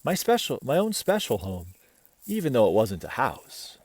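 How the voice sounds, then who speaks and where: A man reading a children's picture book aloud as an expressive storyteller, pausing for casual asides and questions to the listener. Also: mid-range voice.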